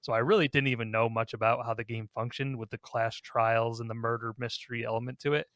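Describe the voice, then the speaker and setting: A man's voice: mid-range voice, flat delivery, fast, fairly bright tone, no echo. Casual man podcaster, dry close sound. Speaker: podcast host. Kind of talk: casual chat about video games.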